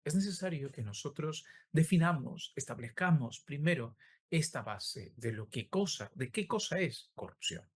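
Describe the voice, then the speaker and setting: A man giving an online law lecture over a video call, speaking with a sore throat and many hesitant filler sounds. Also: a little high.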